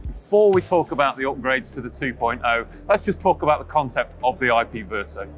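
A man's voice: medium pitch, animated, fairly quick, a bit bright, soft background music. A man in his forties interviewing about a product, speaking emphatically and recapping key points. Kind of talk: product interview at a trade show. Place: busy trade show exhibition hall.

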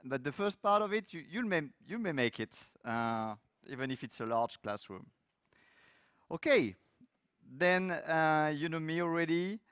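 A man's voice: fairly high pitch, a little slow, clear, resonant tone, dry recording, studio quality. French-accented man giving a conference talk. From France. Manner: loose, lively delivery with frequent fillers and restarts. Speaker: conference speaker. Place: large conference hall stage.

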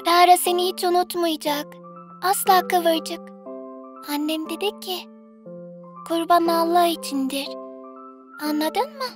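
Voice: very high voice, unhurried, long pauses, music in the background. An actor voicing a cute, curious, tender-hearted little sister in a children's cartoon dub. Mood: sad, tender.

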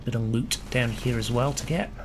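A man's voice: mid-range voice, normal speed, background noise. A man, chatting casually while playing a game and losing his voice. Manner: chatty, reads notes aloud. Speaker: gamer commentator. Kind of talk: casual let's play commentary. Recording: gameplay video with game music.

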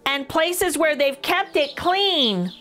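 A middle-aged woman hosting a children's storytime, reading a picture book aloud with expressive, exaggerated intonation. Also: high-pitched, takes it slowly, faint music behind. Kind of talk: animated read-aloud for young children.

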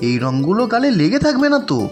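A woman's voice: mid-range voice, sing-song, fast talker, music in the background, pitched below the performer's own voice, natural voice. A woman voicing a boisterous, brash boy, the grandson's friend, in a Bengali cartoon. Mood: boastful.

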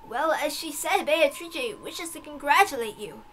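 A woman voicing a kindly, deferential old servant woman with slow, drawn-out speech in a visual novel. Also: high voice, expressive, fairly bright tone, a little hiss or hum. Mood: uneasy.

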